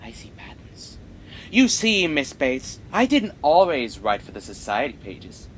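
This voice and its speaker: An earnest amateur sleuth played by a man in an old-time radio mystery. He is pompous and dramatic, with a mid-range, very animated delivery over faint rumbling noise. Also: measured pace. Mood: accusing. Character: earnest, self-important amateur sleuth newspaper writer.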